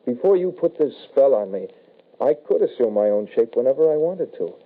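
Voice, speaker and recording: An actor playing an undead shapeshifting son, calmly menacing and apologetic, in old-time radio horror style. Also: male voice, mid-range voice, a little fast, lightly acted. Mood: apologetic menace. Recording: tinny, band-limited vintage radio broadcast.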